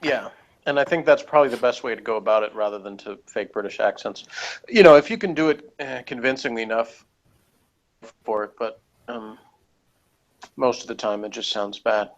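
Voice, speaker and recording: A man's voice: mid-range voice, normal speed, lo-fi sound. An American man in casual discussion, rambling a little and hesitant, speaking plainly. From United States. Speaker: podcast reviewer.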